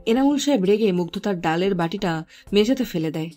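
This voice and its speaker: Mellow woman narrating a story. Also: mid-range voice, expressive, a little fast, soft background music.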